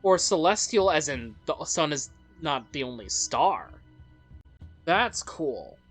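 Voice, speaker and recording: A woman's voice: sits low, big pitch swings, slow pace. A woman hosting a let's play, reading a game's story aloud in a dramatic storyteller way and adding her own commentary. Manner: dramatic storyteller delivery with interjections. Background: game music.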